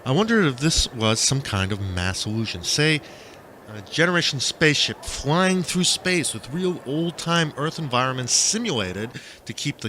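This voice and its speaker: A man's voice: mid-range voice, bright, forceful tone, a little hiss or hum. A man reading surreal first-person fiction as a dramatic audiobook, swinging between emphatic lines and muttered asides. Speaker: author reading own stories.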